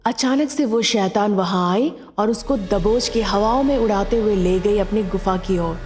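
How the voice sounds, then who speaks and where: A woman's voice: medium pitch, a little slow, a little mellow, long reverb tail. A woman narrating a scripted story as a storyteller.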